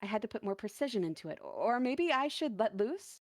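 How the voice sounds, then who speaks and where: A woman's voice: fairly high pitch, sing-song, a little fast, no room sound, clean studio sound. Woman as a lonely, anxious dancer, earnest and emotive. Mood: anxious, striving. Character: lonely, anxious, self-doubting dancer lost in a forest. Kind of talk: first-person dramatic story reading.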